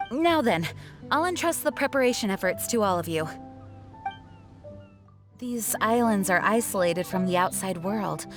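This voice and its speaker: High-voiced, earnest young knight leader. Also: female voice. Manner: formal, measured phrasing. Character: dutiful young woman knight leader, earnest, composed, protective. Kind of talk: animated video game voice acting. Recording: music behind.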